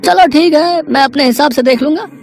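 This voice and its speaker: A woman's voice: sits high, a little fast, gravelly, a little mellow. Woman putting on a sly, coaxing elder brother. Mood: casual, coaxing. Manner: coaxing, hesitant openings. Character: greedy, sly adult elder brother feigning kindness.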